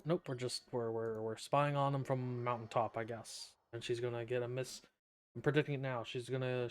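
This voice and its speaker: A man's voice: medium pitch, clean tone. Wry man commentating on a game. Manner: wry, offhand. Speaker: game streamer. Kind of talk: casual live gameplay commentary. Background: game music.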